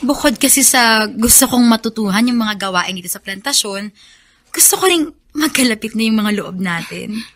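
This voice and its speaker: A woman's voice: fairly high pitch, expressive, moderate pace, fairly bright tone. A woman playing an eager, friendly young woman in a Filipino radio drama.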